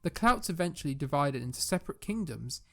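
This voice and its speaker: A man playing a grave, measured teller of clan lore in a fantasy visual novel, with a creaky voice. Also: on the high side, sing-song, faint music behind. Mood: solemn.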